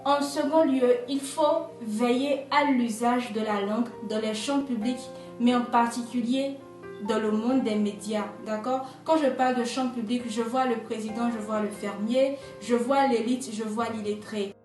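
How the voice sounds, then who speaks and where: A woman's voice: fairly high pitch, steady tone, a little slow, darkish tone. A woman from Benin, interviewed, explaining her points one by one in an emphatic, structured way and often checking in with "d'accord". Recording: echoey room, distant mic, faint music.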